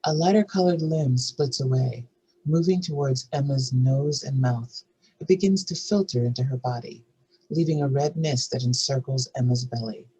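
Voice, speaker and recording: Husky screenplay narrator. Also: medium pitch, normal speed, dark tone, poor audio. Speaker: narrator reading stage directions. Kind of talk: scripted screenplay table-read narration.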